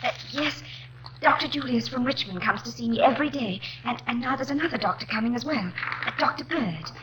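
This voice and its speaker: An actress plays a frail, anxious Victorian lady in an old radio drama. Her voice is fairly high, breathy and hesitant, over clear recording noise. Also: expressive, brisk, close to an everyday voice. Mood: weak, anxious. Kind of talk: 1950s radio drama acting.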